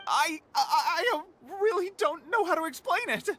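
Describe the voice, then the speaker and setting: A woman's voice: very high-pitched, expressive, moderate pace, noisy background. A woman playing a cowardly, panicky academic underling in a video game cutscene, faltering and pleading. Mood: flustered.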